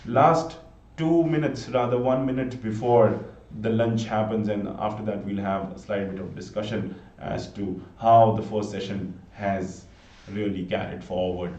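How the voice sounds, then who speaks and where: An Indian-accented man with a low, mellow voice calls a cricket match on his own, chatty and prone to sudden excited outbursts. He sits back from the mic in an echoey room, and the sound is dull and lo-fi. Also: unhurried, recorded from a distance. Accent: Indian English. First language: Hindi. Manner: chatty, pleading for subscriptions, sudden excited exclamations. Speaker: live cricket commentator. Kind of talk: solo live cricket commentary. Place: home room, bundled up against the cold.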